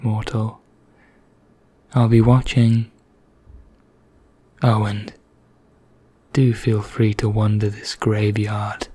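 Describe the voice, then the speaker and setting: A man playing an ancient, haughty vampire knight in an ASMR roleplay, speaking softly and half in whispers, formal and measured with deliberate pauses. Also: on the low side, exaggerated voice. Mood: quietly knowing. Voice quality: soft, breathy, half-whispered, husky. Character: ancient male vampire knight, haughty, languid, darkly courteous.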